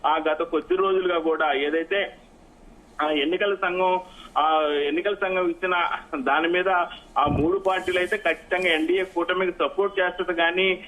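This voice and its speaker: A man giving an unscripted live political news report, in halting, repetitive phrasing. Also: sits high, fairly even intonation, a bit bright, slight background noise. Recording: phone-like, thin narrowband line.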